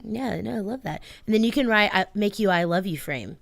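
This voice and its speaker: A woman's voice: mid-range voice, animated, brisk, vocal fry, no echo. A woman co-hosting a radio show in casual banter, upbeat and gushing over sweet ideas. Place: radio studio.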